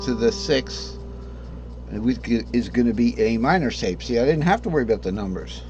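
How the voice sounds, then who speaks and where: A man teaching a casual guitar lesson, hoarse because he is losing his voice, who rambles, corrects himself and often clears his throat. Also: medium pitch, a little fast, dull audio. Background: guitar playing.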